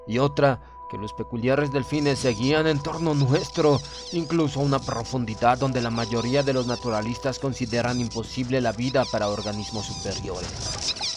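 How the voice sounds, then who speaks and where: A man narrating a horror audiobook in the first person, reading solemnly and deliberately with theatrical emphasis. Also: lowish voice. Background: music.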